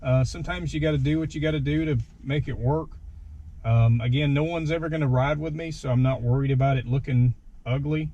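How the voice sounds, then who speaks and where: A man's voice: medium pitch, fairly even intonation, brisk, dark tone, highs cut off. A man giving a casual, informal, self-deprecating hands-on video walkthrough from inside a truck. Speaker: vlogger demonstrating his gear. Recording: clear background noise in a vehicle.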